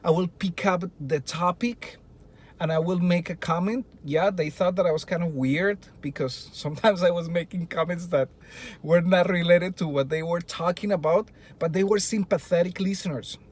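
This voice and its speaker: A man's voice: high voice, moderate pace, darkish tone. A middle-aged man with a Colombian Spanish accent, a native Spanish speaker and a Spanish teacher, talking earnestly to fellow teachers and asking a lot of rhetorical questions. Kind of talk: presentation to teachers.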